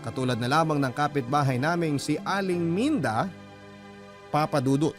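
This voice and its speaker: A man narrating a listener's letter as a radio drama host, in earnest, deliberate scripted storytelling. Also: a little high. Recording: music bed under the voice. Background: prominent music.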